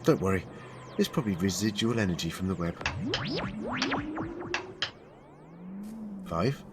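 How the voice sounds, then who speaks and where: A low male voice with wide swings of pitch from a man acting as a determined time-travelling hero. He speaks dramatically and emotionally, as in a sci-fi audio drama, with music underneath. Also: lively intonation, quick pace. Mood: weary, gentle. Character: heroic, anguished, determined male time-travelling alien adventurer.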